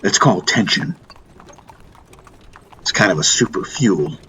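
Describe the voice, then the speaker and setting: A man voicing a brisk, worldly and dismissive male soldier in an audiobook. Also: low-pitched, expressive, pushed and bright, slight background noise, full character voice. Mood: matter-of-fact. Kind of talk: audiobook character dialogue.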